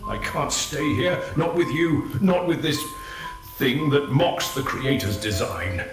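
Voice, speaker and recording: A man's voice: mid-range voice, sing-song, slow pace. An actor playing a bitter, self-righteous husband who condemns his wife with religious scorn, in an audio drama. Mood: furious. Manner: venomous, preaching, full of religious scorn. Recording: very echoey with music underneath.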